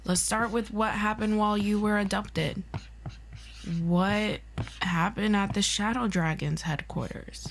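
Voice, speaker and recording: A woman playing a formal, stern agency interrogator in an ASMR audio roleplay, questioning a former hero in a measured, clipped way. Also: medium pitch, steady tone, a little hiss or hum, lightly acted. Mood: cool, probing.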